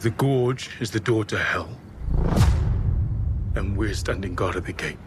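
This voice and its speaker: A man's lowish, grave voice from an actor plays a foreboding sentry in a horror film. Loud music swells under the words. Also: a little slow, lightly acted. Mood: grave, ominous. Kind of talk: film trailer dialogue.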